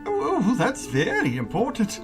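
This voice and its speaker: A woman's voice: a little high, huge pitch swings, a little slow, music in the background. A woman playing a flustered, giggly monarch in a radio play, muddled and stammering as they preside over a trial.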